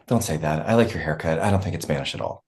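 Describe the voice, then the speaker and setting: Brief: actress for dry, snide female political staffer, very deep voice, lively intonation, blunt comic delivery. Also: fairly quick. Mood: dry, evasive. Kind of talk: comedy play table read.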